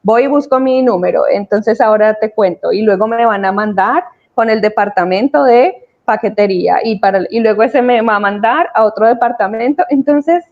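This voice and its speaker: A woman's voice: a little high, normal speed, few pauses. A woman co-presenting a webinar, giving informal commentary in muffled, lo-fi online call audio.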